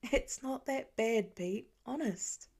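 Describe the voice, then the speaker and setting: A woman reader puts on a teenage boy from an audiobook, wry and cheeky. He teases with a sarcastic, sniffy edge, playfully unimpressed by his father, in a creaky voice. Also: fairly high pitch, takes it slowly, a little mellow. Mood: amused. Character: wry, teasing teenage son, dryly dismissive of dad.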